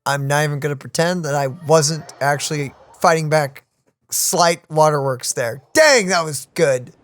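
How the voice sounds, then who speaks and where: A man hosting a reaction video, expressive and joking, often laughing as he reacts. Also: on the high side, unhurried. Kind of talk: casual reaction commentary.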